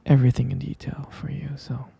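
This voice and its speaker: A man playing a gentle, sleepy half-human vampire boyfriend in an ASMR roleplay, murmuring hesitant, tender reassurance close to the mic in a soft, breathy whisper. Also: mid-range voice, completely flat delivery, brisk, no echo, exaggerated voice. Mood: sleepy, explaining.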